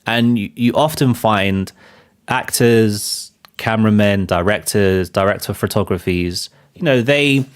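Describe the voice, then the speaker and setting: A man's voice: fairly low pitch, unhurried. A man hosting a live film and TV show, talking casually with a loose, rambling delivery full of fillers and restarts. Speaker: show host.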